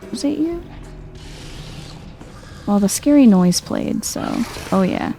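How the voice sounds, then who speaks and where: A soft-spoken woman giving a chill, quiet ASMR-style commentary as she plays a video game. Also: mid-range voice, big pitch swings, slow pace, creaky, slight background noise. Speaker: gaming video host.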